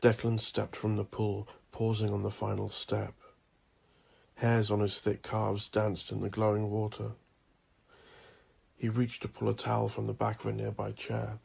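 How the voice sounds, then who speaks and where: Gravelly, husky male narrator. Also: lowish voice, a little mellow. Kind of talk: audio drama narration.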